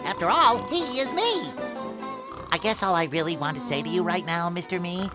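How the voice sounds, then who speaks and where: Childlike cartoon sponge, very high, swooping, eager, earnest, music behind. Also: male voice, animated, normal speed. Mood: pleading. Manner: exuberant, earnest. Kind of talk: cartoon video game voice acting.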